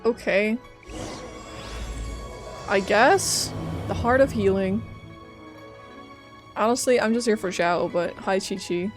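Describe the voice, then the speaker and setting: A woman streamer reacts casually to a video game with wry, offhand remarks. Her pitch is average, and faint game music plays behind her. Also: slow, pauses often. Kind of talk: casual gameplay commentary.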